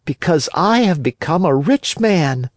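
A man's voice: on the high side, animated, a little slow, no echo, studio quality, voice pushed up. A man voicing a naive, earnest little puppet boy from a storybook, guileless and eager in manner. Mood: eager, hopeful.

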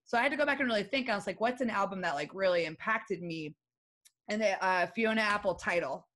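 A woman's voice: mid-range voice, fast, fairly bright tone. A woman in her thirties, a musician guest chatting casually on a podcast, talkative, laughing often and full of fillers. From western New York. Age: 30s.

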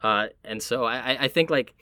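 A man from Miami with a Puerto Rican family, talking casually and reflectively, often halting as he searches for words. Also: medium pitch, pushed and bright, smooth, dry recording.

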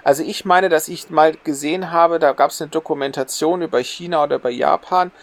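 A man's voice: a little high, brisk, slight background noise. Man chatting casually as podcast co-host.

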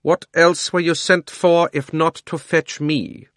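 A man reading an audiobook who voices a proud, imperious witch queen, speaking slowly and with scorn. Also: on the high side, fairly even intonation, fairly bright tone, no echo, studio quality, pitched above the performer's own voice. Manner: slow, commanding, scornful delivery. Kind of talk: audiobook character voice.

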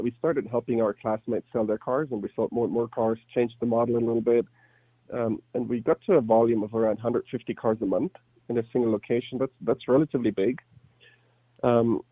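A man's voice: medium pitch, breathy edge, dry recording, phone-quality sound. A man in his thirties with a slight German accent, telling his story casually as an interview guest. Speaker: interview guest, startup entrepreneur.

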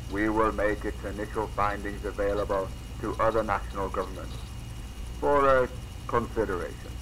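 An actor playing a grave in an audio drama, speaking in a measured, formal, oratorical voice. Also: male voice, fairly low pitch, a bit bright, a little hiss or hum, low-quality recording. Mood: grave, urgent. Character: grave, formal British Prime Minister giving a presentation. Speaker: prime minister in an audio drama. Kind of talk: formal political address.

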